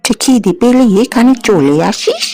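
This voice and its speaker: A woman's voice: a little high, wildly swooping pitch, moderate pace, darkish tone. A woman voicing an unfeeling village neighbour in a cartoon fairy tale, snappish and dismissive. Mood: irritated.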